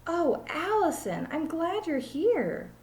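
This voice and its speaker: A woman voicing a befuddled, sweet schoolteacher from a storybook, speaking slowly and earnestly. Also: very high-pitched, sing-song, darkish tone, pitched above the performer's own voice, full character voice. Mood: earnest, puzzled. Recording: echoey room.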